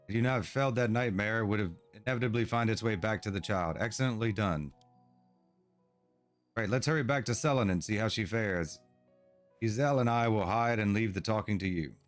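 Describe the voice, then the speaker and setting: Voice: mid-range voice, close to an everyday voice. An actor voicing a proud, aloof fae guardian in a game cutscene, theatrical in manner, over faint game music. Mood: approving.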